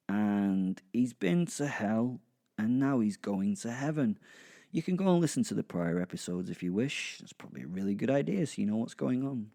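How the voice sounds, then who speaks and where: A man's voice: fairly low pitch, vocal fry, dark tone. A man hosting a podcast, introducing it informally in a rambling, wry way. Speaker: podcast host.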